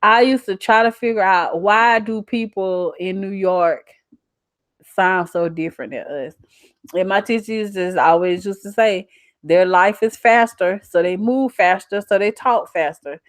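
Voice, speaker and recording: A woman's voice: mid-range voice, unhurried, no echo, clean studio sound. A young adult woman with a Southern US accent, talking casually as a channel host in a folksy, emphatic way on a livestream. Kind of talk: casual livestream chat reading out viewer comments.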